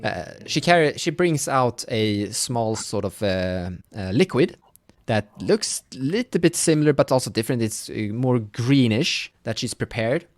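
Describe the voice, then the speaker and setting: A game master improvising narration for a tabletop game in a halting, self-correcting way. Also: medium pitch, animated, dry recording, clean studio sound.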